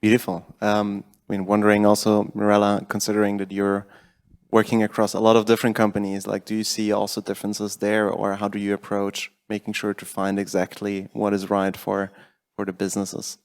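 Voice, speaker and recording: A man's voice: on the low side, monotone, brisk. A man casually moderating a conference panel and putting questions to the guests.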